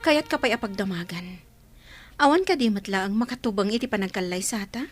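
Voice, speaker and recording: An actress with an Ilocano accent playing an earnest young woman in love in a radio drama, speaking deliberately and with emotion. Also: medium pitch, soft background music, lightly acted. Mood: worried. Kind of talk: Filipino radio drama acting. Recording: faint background noise.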